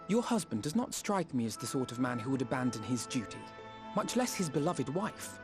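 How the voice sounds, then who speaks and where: Polite young game hero, earnest, reassuring, articulate, mid-pitched, soft music behind. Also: male voice, very animated. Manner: formal, measured, articulate. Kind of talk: video game voice acting.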